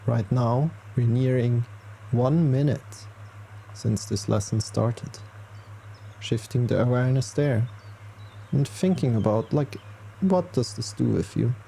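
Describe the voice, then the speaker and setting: A man leading a guided meditation as a yoga teacher, speaking calmly and gently with unhurried pauses. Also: mid-range voice, gravelly, slight background noise.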